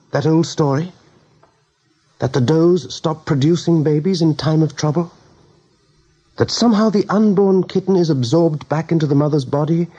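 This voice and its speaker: A man's voice: fairly high pitch, dark tone, husky. A man narrating a radio drama as a grave, dramatic storyteller building suspense. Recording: dull band-limited radio recording.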